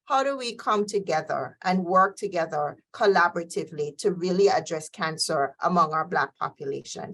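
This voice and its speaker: A middle-aged woman from Jamaica, a guest scientist answering interview questions in a measured way with frequent fillers. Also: lowish voice, a bit bright.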